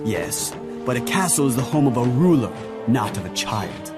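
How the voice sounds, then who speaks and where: Loyal, commanding young samurai general, music behind. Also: male voice, mid-range voice, sing-song, normal speed. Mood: respectful, resolute. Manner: formal, solemn, commanding. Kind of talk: dramatic game cutscene acting.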